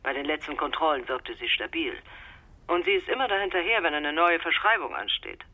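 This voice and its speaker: Brisk male doctor on a muffled phone line. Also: a little high. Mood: businesslike. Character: male general practitioner on the phone, brisk and wry. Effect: telephone filter. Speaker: doctor in private practice. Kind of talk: radio drama dialogue. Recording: muffled, husky telephone line sound.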